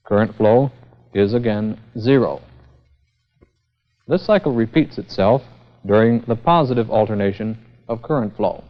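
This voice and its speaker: A man giving a formal, methodical scripted technical lecture as the instructor in a vintage educational film. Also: lowish voice. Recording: dull, band-limited vintage 16mm film sound.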